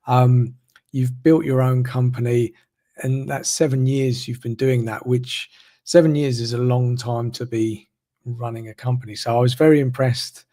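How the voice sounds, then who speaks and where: A man's voice: mid-range voice, fairly even intonation, normal speed, mellow. A man hosting a live online interview, rambling and repeating words as he talks. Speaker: host.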